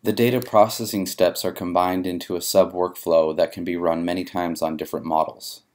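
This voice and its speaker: A man reading scripted narration for a software tutorial in a measured, methodical way. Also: deep voice, flat delivery. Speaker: software demonstrator. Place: voice-over for a screen recording.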